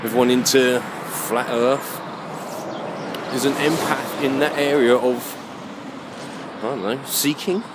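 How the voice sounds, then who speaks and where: A man with a mid-pitched voice thinks aloud as he walks. His delivery is halting and musing, often turning into questions to himself. He is recorded outdoors with clear background noise around him. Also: steady tone. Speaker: vlogger. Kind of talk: rambling walk-and-talk monologue. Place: outdoors, walking along a muddy alleyway.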